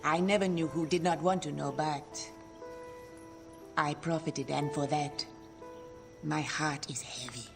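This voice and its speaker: An actress playing a guarded, world-weary woman merchant in a video game, speaking gravely and dramatically. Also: deep voice, fairly even intonation, a little slow, pauses often. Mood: remorseful, fearful. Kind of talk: video game cutscene acting. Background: music.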